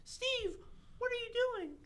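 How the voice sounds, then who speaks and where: Woman acting a very high, melodramatic young heroine. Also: animated, unhurried, sharp tone, slight background noise, exaggerated voice. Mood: distressed. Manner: exclamatory, melodramatic. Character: Alex, caring, brave young heroine.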